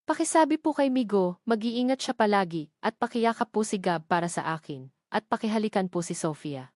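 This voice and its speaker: A woman's voice: medium pitch, expressive, brisk, a little mellow, no echo, studio quality, natural voice. A woman narrator voicing a humble, heartbroken young housemaid in a story, polite, tender and pleading. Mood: sorrowful, pleading.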